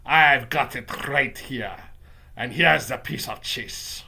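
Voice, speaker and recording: Man putting on a loud, menacing witch leader. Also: mid-range voice, vocal fry, husky, heavily acted. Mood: eager, gloating. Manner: commanding, drawn-out yelling. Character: menacing, imperious witch leader, female, gloating and contemptuous.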